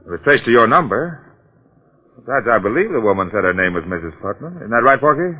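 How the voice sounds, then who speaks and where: An actor playing a polite, persistent police detective in 1940s radio drama, probing and courteous. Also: male voice, mid-range voice, sing-song, quick pace, breathy edge. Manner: probing, courteous, hesitant phrasing. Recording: muffled, narrow-band old radio recording.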